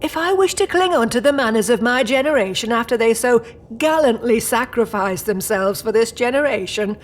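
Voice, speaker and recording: A woman's voice: a little high, animated, moderate pace, close to an everyday voice. A woman playing a proud, old-fashioned British mother and grandmother-to-be, formal and stern yet doting, in an audio drama.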